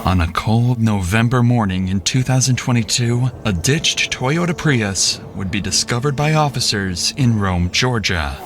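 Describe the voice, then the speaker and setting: A man giving measured, dramatic scripted narration for a true-crime documentary. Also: medium pitch, animated, noisy background. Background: music.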